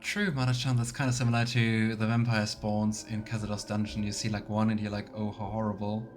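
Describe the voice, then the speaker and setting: A man's voice: lowish voice, level tone, a little fast, soft background music. A man hosting a live let's play, chatting casually and thinking aloud as he comments. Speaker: streamer, let's play host.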